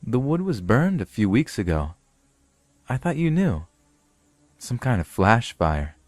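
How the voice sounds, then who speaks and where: A man reads as a gentle wife worn down by war in a science fiction audiobook. The delivery is soft and resigned, slow, with a dry sound. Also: mid-range voice, big pitch swings, no room sound, soft background music, pitched above the performer's own voice.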